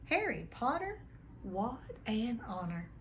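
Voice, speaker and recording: A woman's voice: a little high, big pitch swings, slow, slight background noise, rough recording. A woman voicing a reverent, awed old male barman in a storybook reading. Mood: reverent, moved.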